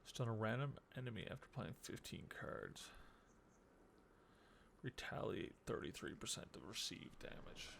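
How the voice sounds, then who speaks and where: Gravelly man muttering through a game stream. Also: lowish voice, very animated, unhurried, a bit bright, a little hiss or hum. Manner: muttering, thinking aloud. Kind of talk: casual live gameplay commentary.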